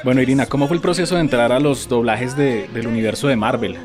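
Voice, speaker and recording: A man's voice: medium pitch, fast talker, music in the background. A man who hosts a radio show, asking long, winding interview questions. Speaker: radio host.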